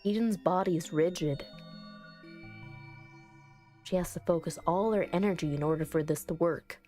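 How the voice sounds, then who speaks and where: A woman voicing an earnest, anxious summoner in a visual novel, reading in a measured, solemn way. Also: on the low side, warm tone, faint music behind, close to an everyday voice. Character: earnest, anxious narrator summoning a ghost girlfriend.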